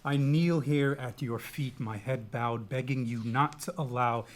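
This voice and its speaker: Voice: medium pitch, measured pace, natural voice. A reader playing a solemn, pleading citizen of a Greek chorus, declaiming earnestly in a dramatic reading. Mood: reverent, pleading.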